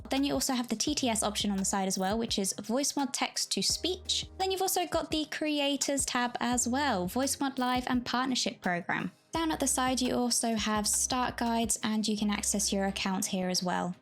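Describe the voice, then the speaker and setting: A woman with a fairly high voice guides listeners through a software tutorial. Her delivery is friendly and keen, like a prepared walkthrough with casual touches. Music plays behind her. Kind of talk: scripted tutorial narration with casual enthusiasm. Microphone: Blue Yeti desktop microphone. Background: background music.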